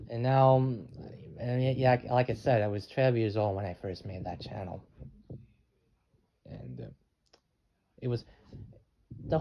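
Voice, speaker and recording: An eighteen-year-old man talking in a rambling vlog, halting and restarting his sentences often. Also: mid-range voice. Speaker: channel owner. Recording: dull lo-fi with faint noise.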